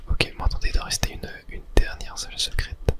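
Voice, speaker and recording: A person softly whispering a running ASMR commentary over a video game, in short hushed phrases. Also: brisk. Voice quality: soft unvoiced whisper. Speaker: gaming ASMR streamer.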